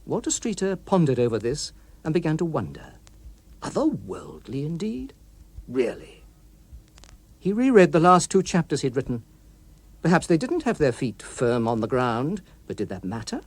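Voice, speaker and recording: A man narrating an audiobook story in a precise, expressive, literary manner, recorded in a studio. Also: a little high, moderate pace, slightly rough, no echo. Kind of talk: scripted dramatic story narration.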